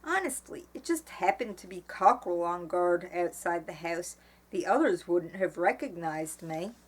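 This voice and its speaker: A woman voicing a calm, weary, wry father in a storybook, with an understated manner. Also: on the low side, measured pace, husky. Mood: defensive.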